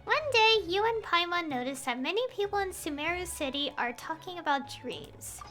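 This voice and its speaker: High bright lady streamer, slow and casual, giggly, game music faint. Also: expressive. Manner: casual, giggly, hesitant fillers. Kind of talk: casual gameplay commentary and reading dialogue.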